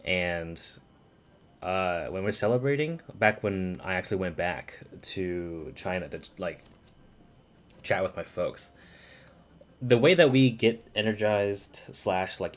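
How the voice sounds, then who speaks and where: A man streaming a game, giving casual, informal commentary and thinking aloud as he goes. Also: sits low.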